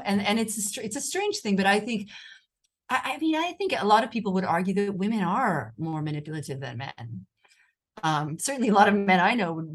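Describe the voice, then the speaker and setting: An American woman journalist answering interview questions in a thoughtful, conversational way, often restarting her sentences. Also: medium pitch. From United States. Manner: thoughtful, with frequent restarts and fillers. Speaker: journalist and author, interview guest.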